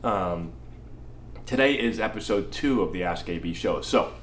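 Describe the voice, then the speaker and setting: A man's voice: low voice, lively intonation, a little slow, a little hiss or hum. A man in his thirties with a stuffy cold, a baseball coach casually answering viewer questions and tagging his points with "right?". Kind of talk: casual talking-head Q&A. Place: small indoor room, plain wall. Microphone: camera in front, head and shoulders. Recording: echoey room.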